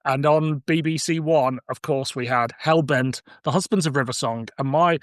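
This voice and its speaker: A man's voice: fairly high pitch, normal speed, dry recording, clean studio sound. A man hosting a podcast, moving between casual chat and read-out summaries. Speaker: podcast host.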